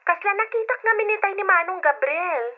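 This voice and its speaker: Very shrill Ilocano caller on phone. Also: female voice, fairly quick, faint music behind. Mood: probing. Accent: Filipino, Ilocano. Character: concerned younger woman, tattling, urgent telephone informant. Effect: telephone filter. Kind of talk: old-fashioned radio drama acting. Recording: thin, tinny phone line.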